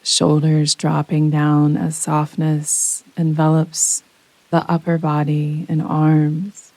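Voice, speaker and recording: Very slow, hushed-toned woman guiding meditation. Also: deep voice, completely flat delivery, dry recording, low-quality recording. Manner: soothing, gentle, unhurried with long pauses. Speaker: meditation guide. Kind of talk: guided yoga nidra relaxation meditation. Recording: close dry voice over rain sounds. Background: soft rain sounds.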